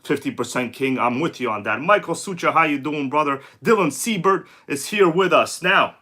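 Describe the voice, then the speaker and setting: A man's voice: on the high side, normal speed, pushed and bright. A man hosting a casual livestream, chatty and slangy, greeting viewers by name, with a sore throat and a congested voice. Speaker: livestream host. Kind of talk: casual live-stream chat.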